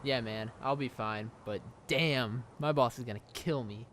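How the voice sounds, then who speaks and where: Friendly stranded motorist, played by a man, medium pitch, laid-back and casual, for an audio drama. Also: unhurried, a bit bright, natural voice. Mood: sheepish. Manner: casual, slangy.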